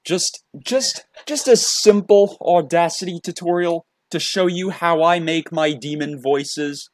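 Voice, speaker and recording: A man presenting a casual software tutorial, talking in a halting way and often repeating his phrases. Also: on the high side, no echo. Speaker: tutorial presenter and hobby voice actor.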